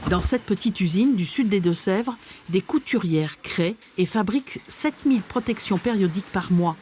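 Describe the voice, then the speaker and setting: A woman narrating a scripted TV news report in a measured, informative manner. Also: mid-range voice, lively intonation, a little mellow, a little hiss or hum.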